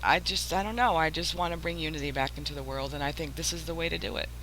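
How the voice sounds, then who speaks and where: A man's voice: on the high side, quick pace, sharp tone, low-quality recording. A man chatting casually on live radio in an earnest, rambling way over an internet call. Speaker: radio station founder. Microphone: Skype-type connection. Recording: internet call with faint noise.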